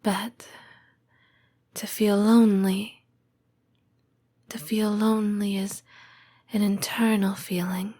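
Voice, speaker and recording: A woman acting as a lonely, tender snake-woman girlfriend in an intimate audio roleplay. Her voice is breathy and often sinks into a whisper. She speaks extremely slowly, pausing hesitantly, with very little rise and fall in pitch. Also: level tone, warm tone. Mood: lonely, gentle. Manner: slow, hesitant, intimate delivery with long pauses. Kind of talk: soft-spoken ASMR roleplay.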